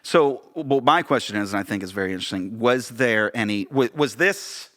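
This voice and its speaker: A man's voice: medium pitch, lively intonation, moderate pace, a bit bright. A man with an American accent talking casually on a podcast as co-host, often searching for words and repeating phrases.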